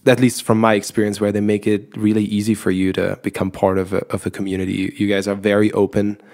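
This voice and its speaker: A man, co-hosting a podcast in a relaxed, rambling chat. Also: on the low side, quick pace. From Germany. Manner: relaxed, rambling with restarts and laughs. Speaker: podcast co-host. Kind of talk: casual chat.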